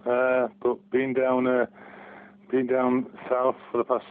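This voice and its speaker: A man's voice: mid-range voice, monotone, slow, clear, resonant tone, narrow, tinny audio. Casting: man, forties, hesitant phone-in guest, heavy line filtering. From Barnsley, England. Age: 40s. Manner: hesitant, many restarts and ums. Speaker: guest fan. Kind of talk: casual phone interview. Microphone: telephone.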